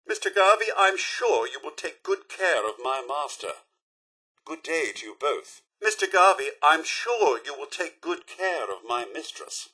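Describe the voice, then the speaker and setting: A man voicing a fussy, gentlemanly robot butler with a posh British accent and an exclamatory manner, in video game voice lines. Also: a little high, sing-song, unhurried, slightly rough, heavily acted, low-quality recording. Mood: polite, attentive. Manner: posh, theatrical, polite, exclamatory. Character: eager, fussy, gentlemanly robot butler, male, itching to fight.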